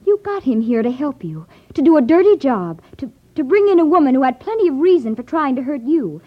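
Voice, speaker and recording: A woman's voice: high voice, sing-song, a little fast. An actress playing a feisty, indignant young woman, emphatic and outraged, in a 1950s radio drama. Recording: muffled vintage 1950s radio recording.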